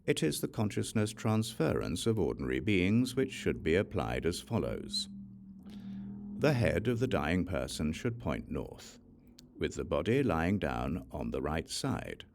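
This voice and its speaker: A man reads a scripted religious text as an audiobook narrator. His voice sits low, with a creaky, gravelly edge. The delivery is formal and measured, yet the intonation moves widely up and down. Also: a little mellow.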